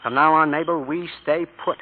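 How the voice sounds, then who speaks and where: A man's voice: fairly high pitch, fairly even intonation, moderate pace, lightly acted. An actor playing a tough young drifter and convict, talking in slangy tough-guy style that turns tender, in 1940s radio drama style. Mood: dreamy. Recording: tinny old radio broadcast.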